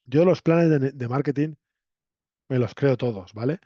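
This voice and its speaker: A man's voice: medium pitch, a little slow, dark tone. A man from Spain with a Castilian accent, lecturing to students in a conversational, didactic way, often checking in with short tag questions. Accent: Castilian Spanish. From Spain, La Mancha. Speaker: university lecturer. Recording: roomy echo, dull lo-fi sound.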